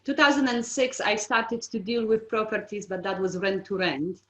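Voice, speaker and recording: A woman's voice: mid-range voice, steady tone, moderate pace, echoey room. A middle-aged woman whose first language is not English, frankly telling her career story in an interview, with frequent ums and restarts. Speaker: estate agency owner, guest.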